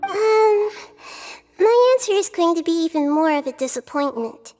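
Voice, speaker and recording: A woman voicing a small, gentle girl deity who speaks in a measured, explanatory way in an anime game. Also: extremely high pitch, expressive. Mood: thoughtful. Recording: long reverb, faint music behind.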